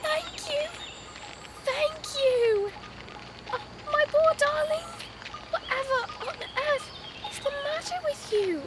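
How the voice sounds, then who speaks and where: An extremely high, slow-spoken mother mouse, all fretting and distress in a storybook radio play. The tiny squeaky voice comes with heavy noise on the recording. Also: animated, heavily acted. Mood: fretful, relieved. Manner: fretful, distressed. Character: frantic, tearful mother field mouse in apron. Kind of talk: audio drama acting.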